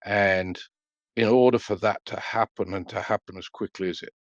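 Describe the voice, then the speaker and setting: A middle-aged man, an analyst answering interview questions in a measured, halting way with many fillers. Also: on the low side, steady tone, no echo, lo-fi sound. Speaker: freight market analyst guest.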